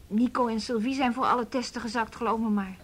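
A woman's voice: steady tone, quick pace, husky. An actress playing a broke, cheeky and wistful young woman in a naturalistic radio drama. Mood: defensive, musing. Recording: noisy radio play recording with rumble.

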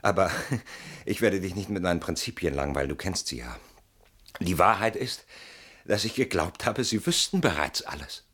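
Deep-voiced, evasive, guilt-ridden man. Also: lively intonation, fairly bright tone, husky, exaggerated voice. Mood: self-justifying. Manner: halting, brooding, protesting, with sudden outbursts. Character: evasive, self-justifying man of guilty conscience, pleading his case. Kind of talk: German radio play acting.

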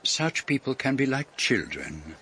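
A man's voice: medium pitch, sing-song, unhurried, pushed and bright, heavily acted. A man playing a courteous foreign detective in a radio drama, formal and politely probing as he questions people and narrates his case.

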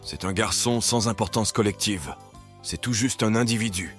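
A man's voice: on the low side, normal speed, a bit bright, soft background music. A man reading literary quotations in a scripted, recited style.

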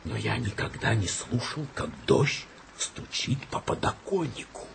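A man's voice: medium pitch, big pitch swings, measured pace, vocal fry, a little hiss or hum. An actor in a radio play as a whimsical, theatrical boss who savours his words and swings between stern and delighted. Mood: whimsical, intrigued.

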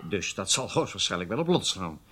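A man's voice: deep voice, very animated, brisk, sharp tone, breathy edge, exaggerated voice. Matter-of-fact male intelligence aide. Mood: businesslike. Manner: deferential, factual, clipped reporting. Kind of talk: radio drama acting.

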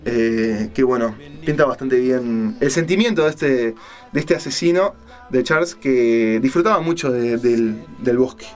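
A man's voice: mid-range voice, faint music behind. Rioplatense guy on a radio show, chatting through a story, keeps stopping to find the words. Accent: Rioplatense Spanish. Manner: hesitant, halting, searching for words. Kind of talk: casual storytelling conversation.

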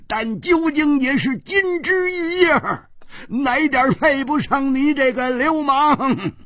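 A man's voice: high voice, very animated, slow pace, a little mellow, breathy edge, dry recording, studio quality, pitched above the performer's own voice, heavily acted. A man voicing an enraged, grieving older king who roars and stammers with fury. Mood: furious roaring.

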